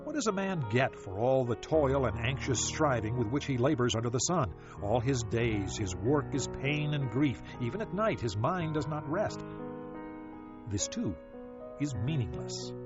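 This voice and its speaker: A man narrating a dramatized scripture audiobook in a solemn, measured manner, with deliberate pauses. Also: medium pitch, sing-song. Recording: slightly dull audio with music behind. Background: music.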